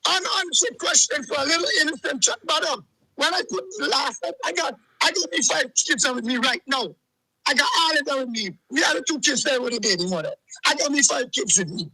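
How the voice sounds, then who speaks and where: A man's voice: extremely high pitch, lively intonation, brisk, bright, forceful tone, no echo, low-quality recording. A man, calling in to a show and ranting heatedly, repeating himself. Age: 40s. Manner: agitated, repetitive, keeps saying "let me tell you something". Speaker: caller. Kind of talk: heated call-in rant.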